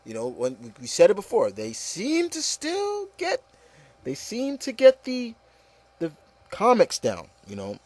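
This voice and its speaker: A man's voice: high-pitched, wildly swooping pitch, measured pace, no room sound. A man hosting a casual, opinionated discussion, speaking emphatically and repeating phrases to drive points home. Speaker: podcast host.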